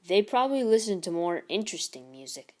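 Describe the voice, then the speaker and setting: A woman's voice: mid-range voice, very animated, a little fast, darkish tone, lightly acted. A woman voicing a small, nerdy know-it-all schoolboy in a storybook, explaining things earnestly and wryly. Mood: defensive.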